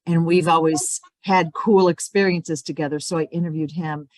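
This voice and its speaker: A woman hosts a casual interview with a lower voice than most, nudging her guest along with short prompts. Her voice sounds dry and close. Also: fairly even intonation, moderate pace, a little mellow, no echo. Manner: friendly, brief encouraging prompts. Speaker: interview host.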